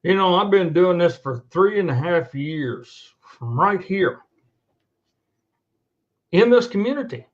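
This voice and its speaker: A man with a slightly high voice rants off the cuff as host of his own online show. He is blunt and sweary, hammering points home by repeating them. The sound is dull and lo-fi. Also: animated, takes it slowly, long pauses. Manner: blunt, profane, emphatic, repetitive. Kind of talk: unscripted monologue rant to camera. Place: indoors at a computer.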